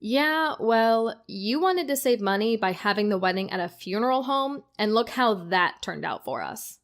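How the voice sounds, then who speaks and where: A woman's voice: fairly high pitch, expressive, normal speed. An actor playing a defensive married woman in an audio drama, matter-of-fact and snippy. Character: brisk, defensive married woman with dry comebacks. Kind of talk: acted audio drama dialogue.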